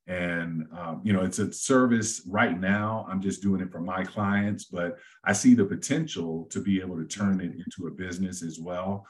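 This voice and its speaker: A middle-aged man, a real estate agent, talking at length and easygoing in a casual interview, with a deep voice he says suits radio. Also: moderate pace, few pauses. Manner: easygoing, talks at length with many fillers and restarts.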